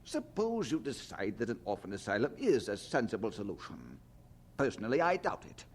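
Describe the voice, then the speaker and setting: An actor playing a cautious, skeptical colleague in an audio drama, fussy and remonstrating. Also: male voice, mid-range voice, sing-song, brisk, slight background noise, natural voice.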